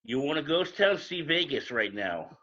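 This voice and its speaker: A man's voice: fairly high pitch, big pitch swings, moderate pace, pushed and bright. A man chatting casually on a video call, telling stories in a blunt, emphatic way. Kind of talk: casual storytelling chat. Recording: dull, lo-fi call audio.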